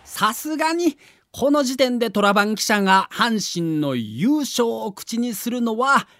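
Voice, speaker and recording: A man's voice: very high voice, sing-song, a little slow, fairly bright tone. A man narrating a scripted documentary as a story, with dramatic emphasis.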